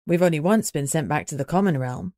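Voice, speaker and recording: A woman's voice: deep voice, fairly quick, warm tone, dry recording, clean studio sound, lightly acted. A woman voicing a proud, battle-ready female wolf spirit in an audiobook, assured and reassuring. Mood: thoughtful.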